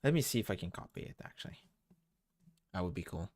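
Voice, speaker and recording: A young woman with a deep voice chatting casually as a stream host, relaxed and laughing. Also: quick pace, no echo. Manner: relaxed, laughing, talks while doing things on screen. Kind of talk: casual chat.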